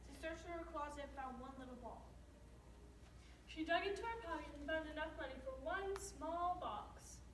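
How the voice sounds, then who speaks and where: A woman's voice: high voice, normal speed. A young teenage girl narrating a scripted story as one of a readers theater group. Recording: echoey room, distant mic, faint noise.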